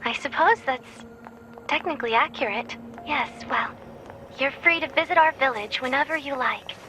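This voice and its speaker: High-pitched, courteous gentle machine. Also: female voice, soft background music, poor audio. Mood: courteous, mild. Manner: polite, formal, measured. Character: gentle, peaceable machine village leader, courteous and reasonable. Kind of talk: video game voice acting.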